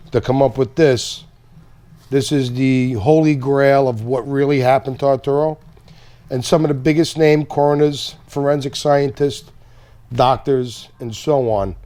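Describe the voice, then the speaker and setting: Mellow-voiced New man. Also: mid-range voice. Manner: earnest, lists names and details. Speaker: guest. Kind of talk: casual interview chat.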